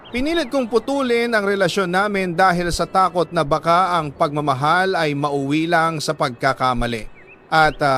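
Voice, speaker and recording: Filipino male radio storyteller, slightly high voice, emotive and deliberate letter reading, faint noise in the recording. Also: fairly bright tone. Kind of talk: dramatic first-person narration of a letter.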